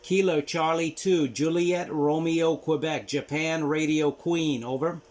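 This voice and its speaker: A man hosting an amateur radio vlog, talking casually and giving deliberate on-air calls. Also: fairly high pitch, steady tone. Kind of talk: casual radio commentary and on-air call-signs. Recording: very noisy, radio static and hiss. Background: loud ham radio noise.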